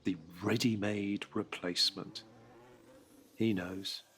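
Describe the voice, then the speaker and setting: A man with a husky voice narrates a dramatic script in a measured, intense, literary manner. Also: lowish voice, level tone, a bit bright, slight background noise.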